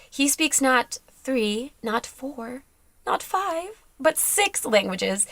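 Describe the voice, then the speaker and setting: A woman's voice: mid-range voice, vocal fry, no room sound. A woman hosting a podcast, reading a playful scripted intro and then interviewing a guest in an upbeat, emphatic way. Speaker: podcast host.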